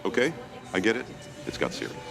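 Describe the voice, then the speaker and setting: An actor playing a down-to-earth, skeptical ghost hunter in a motion comic, dry and plain-spoken. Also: male voice, fairly low pitch, very animated, quick pace, creaky. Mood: weary, dry. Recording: loud music behind.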